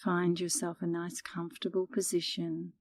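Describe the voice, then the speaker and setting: A middle-aged woman leading a guided meditation in a calm, soothing, unhurried manner, as an instructor speaking close to the microphone. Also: fairly low pitch, no room sound.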